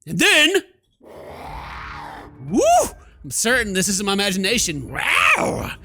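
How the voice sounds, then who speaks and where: A man hosts a gaming video in a bright, high voice that swings widely. He is playful and theatrical, mixing exclamations with read-aloud game text. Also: wildly swooping pitch, takes it slowly. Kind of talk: animated let's play commentary, reading game text aloud. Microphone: close microphone at a desk. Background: faint game music.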